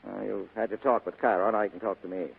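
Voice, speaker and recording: Gravelly, tough American private eye. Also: male voice, fairly low pitch, fairly even intonation, quick pace. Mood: probing, cool. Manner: blunt, sardonic, commanding. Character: tough, cynical male private detective. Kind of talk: 1940s radio drama acting. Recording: tinny muffled 1940s radio broadcast.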